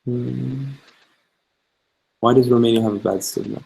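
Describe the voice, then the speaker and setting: A young man, a travel vlogger, chatting casually and answering viewer questions in a relaxed, rambling way. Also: medium pitch, unhurried, vocal fry, breathy edge, poor audio. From Canada. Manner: relaxed and chatty, many fillers, reads questions aloud.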